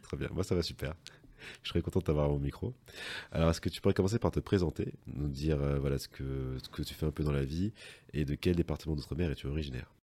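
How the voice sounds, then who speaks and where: A man's voice: very deep voice, quick pace, mellow. A young man from Martinique hosting a podcast interview, asking questions in a friendly way with some hesitations. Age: young adult. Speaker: podcast host.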